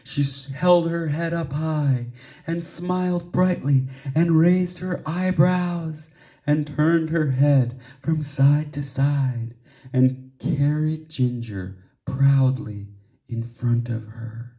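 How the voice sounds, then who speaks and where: Man, very soft dark tone, unhurried children's read-aloud, expressive, measured emphasis. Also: a little high. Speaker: storybook reader.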